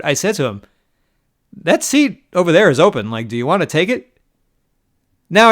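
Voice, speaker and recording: A man with a slightly high-pitched voice tells stories from the poker table in a casual, wry way. He sounds exasperated and breaks into short laughs. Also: a bit bright. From New York. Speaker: poker vlogger. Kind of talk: casual storytelling monologue.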